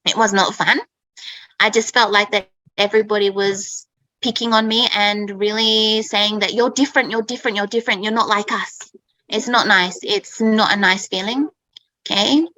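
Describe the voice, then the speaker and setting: A woman's voice: medium pitch, steady tone, normal speed, sharp tone, no echo, poor audio. A woman, probably in her thirties, telling a personal story to English learners in a chatty way, often adding "okay?". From Australia. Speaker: speaker in an English-learning talk.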